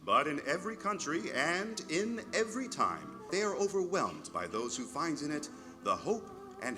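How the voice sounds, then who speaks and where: Male announcer: earnest vintage radio host, very expressive, music behind. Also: fairly high pitch. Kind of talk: 1940s radio announcing, scripted.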